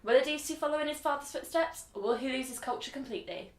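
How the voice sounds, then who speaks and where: In roomy sound over faint music, a woman plays a gushing, upbeat radio presenter. Her voice sits fairly high. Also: quick pace, close to an everyday voice. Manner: gushing, enthusiastic. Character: enthusiastic female radio presenter.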